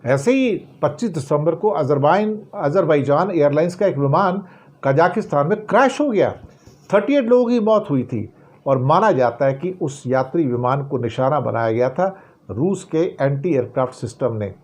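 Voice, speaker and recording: A man presents news commentary in a somewhat high-pitched voice. He explains things directly to the viewer with emphasis. He leans on rhetorical questions and restates key phrases. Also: lively intonation, moderate pace, darkish tone.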